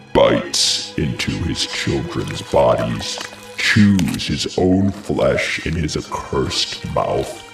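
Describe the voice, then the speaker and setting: An actor playing a grave messenger in a classical tragedy, declaiming horrors in portentous, deliberate verse with a gravelly voice. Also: male voice, very deep voice, full character voice. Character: grave male messenger recounting a horrific crime. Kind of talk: solemn theatrical verse declamation. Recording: roomy, distant voice under music.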